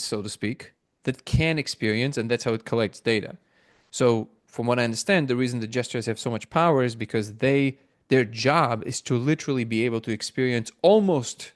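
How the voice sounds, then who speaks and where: A middle-aged man from Russia who later moved to Israel, answering reflectively as an interview guest, haltingly restarting phrases as he thinks aloud. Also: medium pitch, dry recording, studio quality. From born in Moscow, Russia, moved to Israel.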